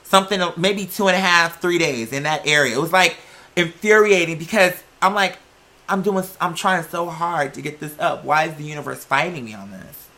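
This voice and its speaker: A woman's voice: fairly low pitch, normal speed, fairly bright tone. A woman hosting a video, giving casual, blunt commentary to viewers with plenty of swearing and slang. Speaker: video host.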